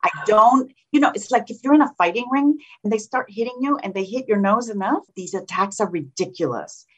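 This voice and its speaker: A woman with a slight Colombian Spanish accent, a state senator speaking in an interview in a combative, animated way. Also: medium pitch, a little fast, husky. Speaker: state senator, political guest. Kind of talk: conversational interview. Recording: dull lo-fi sound.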